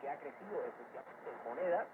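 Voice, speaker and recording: A man's voice: on the high side, expressive, quick pace. A man giving explanatory, opinionated economic commentary as an expert guest in a radio interview, heard over shortwave radio. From Cuba. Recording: noisy shortwave radio capture, narrow phone-like band. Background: loud shortwave static and noise.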